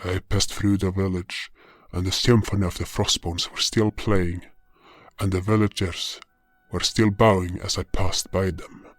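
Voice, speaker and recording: Deep-voiced, solemn guardian hero acted by a man. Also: little pitch movement, measured pace, no echo, clean studio sound. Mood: brooding. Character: solemn powerful male guardian hero recounting his journey. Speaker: narrator and lead actor. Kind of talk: scripted fantasy audio drama narration. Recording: music behind the voice. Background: music.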